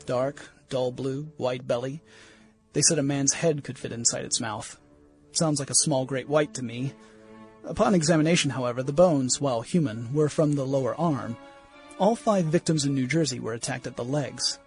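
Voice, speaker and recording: A man playing an earnest shark researcher of the 1910s, reading his field journal aloud in a measured, formal, analytical way for a radio play. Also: medium pitch, darkish tone, soft background music, lightly acted. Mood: somber. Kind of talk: radio play diary monologue.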